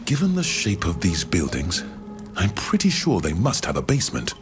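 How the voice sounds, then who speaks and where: A man playing a courteous, learned foreign scholar in a video game, speaking in measured, formal, precise phrases. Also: sits low, wildly swooping pitch, music in the background. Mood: intrigued. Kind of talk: video game dialogue acting.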